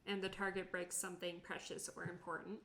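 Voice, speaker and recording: A woman's voice: on the low side, flat delivery, fairly quick, fairly bright tone. A woman reading out her character's options as a player in a tabletop game. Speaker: tabletop role-playing player.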